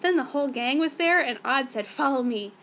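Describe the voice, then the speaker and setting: A woman with a high voice reads fan fiction aloud. Her delivery is theatrical and mock-earnest, as a storyteller playing up the drama. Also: fairly quick, a bit bright, a little hiss or hum. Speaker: reader. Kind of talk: dramatic fanfiction reading.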